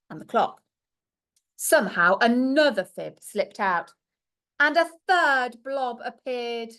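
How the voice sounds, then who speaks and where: A woman reading a storybook aloud to young children like a primary teacher, expressively and with little asides to her listeners. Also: medium pitch.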